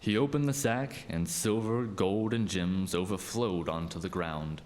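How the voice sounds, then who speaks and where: A man narrating a scripted radio play tale as an expressive, dramatic storyteller. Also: deep voice, unhurried, slight background noise.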